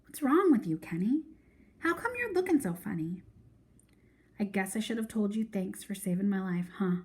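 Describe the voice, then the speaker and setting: A woman's voice: medium pitch, lively intonation, moderate pace, darkish tone, husky. A woman voicing a bossy, exasperated little girl in a storybook read-aloud, dramatic and demanding, scolding like her mother. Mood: puzzled, worried.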